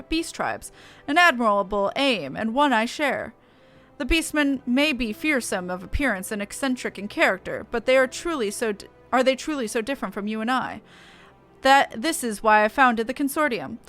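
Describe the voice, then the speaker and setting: Woman putting on a courteous, grandiose gentleman merchant. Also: fairly high pitch, natural voice. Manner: formal, grandiose. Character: genteel, flowery male merchant chairman, courteous and idealistic. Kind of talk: reading game dialogue aloud.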